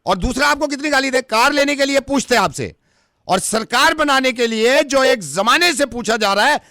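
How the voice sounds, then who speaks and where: A man's voice: very high-pitched, expressive, normal speed, sharp tone, no room sound, clean studio sound, pitched above the performer's own voice, exaggerated voice. A man playing a stubborn, defensive car dealership agent who argues and repeats himself on a prank call. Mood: indignant.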